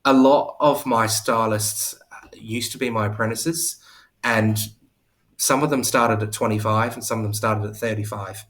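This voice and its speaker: A man's voice: fairly low pitch, fairly even intonation, unhurried, fairly bright tone. A man chatting on a video call from home, talkative and self-deprecating, often restarting his sentences. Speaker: hair coach and salon owner. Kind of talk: casual live interview chat.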